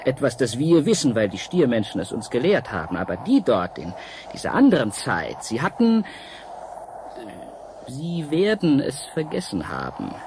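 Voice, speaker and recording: An actor in a radio play voicing a seductive, mysterious painter from an ancient world, coaxing and dramatic with halting pauses. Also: male voice, mid-range voice, huge pitch swings, noisy background. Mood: mysterious, solemn.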